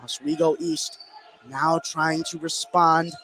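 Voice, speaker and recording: An American man doing live radio basketball play-by-play, getting excited on big plays. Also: fairly high pitch, slow pace, rough recording. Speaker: play-by-play announcer.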